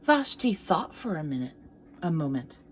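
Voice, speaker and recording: Woman reading expressively to children, lively pitch. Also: moderate pace, slightly rough. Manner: expressive, addresses young listeners with questions. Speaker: art teacher. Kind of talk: children's picture book read-aloud with asides.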